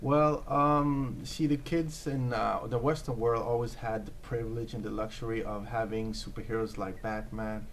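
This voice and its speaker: A man's voice: medium pitch, steady tone, moderate pace, hardly any pauses, a little hiss or hum. A man in his thirties from Norway, answering interview questions in an earnest, hesitant way with many fillers and restarts. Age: 30s. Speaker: interview guest, comic book creator.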